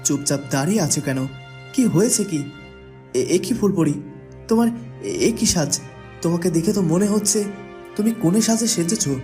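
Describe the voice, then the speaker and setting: A man's voice: sits high, brisk, long pauses, mellow, soft background music, close to an everyday voice. A man playing a kindly young prince in a fairy-tale cartoon, earnest and full of questions. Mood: surprised, curious. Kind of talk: Bengali cartoon voice acting.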